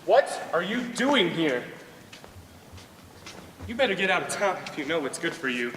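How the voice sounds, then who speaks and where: A man acts a wounded, indignant young man in a stage drama. His high voice moves through big swings of pitch, ringing in a roomy hall with faint noise. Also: very animated, normal speed, a bit bright. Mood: angry, indignant. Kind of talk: stage play acting. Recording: echoey hall with background hiss.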